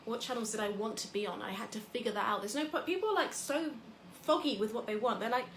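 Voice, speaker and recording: A woman's voice: on the high side, fast, a bit bright, echoey room, a little hiss or hum. A woman from England hosting a casual motivational talk. She talks in a chatty, rambling way with lots of fillers. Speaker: host.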